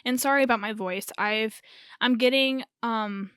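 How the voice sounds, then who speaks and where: A young woman in her early twenties speaks in a slightly high, hoarse and raspy voice, still getting over a cold. She rambles and corrects herself as she chats alone on her podcast. The sound is dry and close. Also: measured pace, fairly bright tone, dry recording. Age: early 20s. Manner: rambling, self-correcting, laughs nervously. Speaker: podcast host. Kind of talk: casual solo chat.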